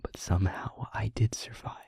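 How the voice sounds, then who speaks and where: A man playing a wounded, sorrowful demon in an intimate audio roleplay, speaking slowly and solemnly in a husky, breathy near-whisper with halting short phrases. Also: darkish tone, dry recording, clean studio sound, lightly acted. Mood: solemn, pained. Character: wounded, haunted male demon confessing with tender sorrow.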